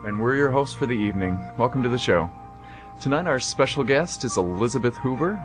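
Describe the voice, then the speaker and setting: A man's voice: mid-range voice, lively intonation, a little fast, music in the background. A man hosting an amateur radio theater show and reading its scripted narration.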